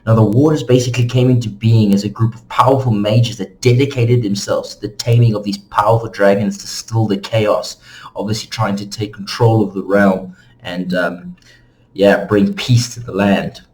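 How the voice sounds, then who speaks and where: A man with a fairly low voice hosts an informal explainer. He narrates in a casual, conversational way and speaks directly to his viewers. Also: fairly even intonation, normal speed, a little mellow, poor audio. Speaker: host of a game lore channel.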